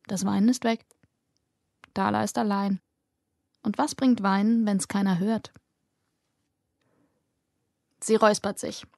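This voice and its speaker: Woman reading a fantasy audiobook, gravelly edge, dry close sound, inward telling. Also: mid-range voice, fairly even intonation, slow, long pauses, darkish tone, no room sound. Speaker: audiobook narrator. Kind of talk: scripted fantasy narration.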